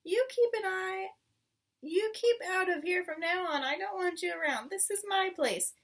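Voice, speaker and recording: A woman's voice: moderate pace, fairly bright tone, far from the mic. A woman voicing a rude, territorial male rat in a storybook, shrieking and scolding in a defiant manner. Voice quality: shrill, shrieking.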